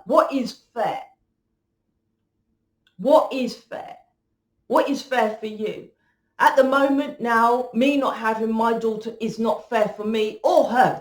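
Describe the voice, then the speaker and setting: A woman giving a rambling tarot reading, blunt and swearing freely. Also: fairly high pitch. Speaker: tarot reader. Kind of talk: casual tarot reading monologue. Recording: echoey room.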